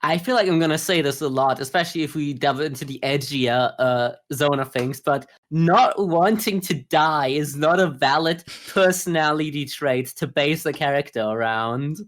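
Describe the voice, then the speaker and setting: A man's voice: a little high, normal speed, few pauses, a bit bright. A man co-hosting a podcast, giving casual, wry criticism in a discussion of anime. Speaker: podcast co-host.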